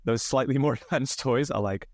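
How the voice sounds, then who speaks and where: Man, English accent with a hint of northern, gravelly, relaxed chat, fillers, dry studio mic. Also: medium pitch, normal speed, no room sound. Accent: English, slightly northern. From England. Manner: relaxed, many fillers, laughs often. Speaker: podcast guest. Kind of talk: candid conversational storytelling.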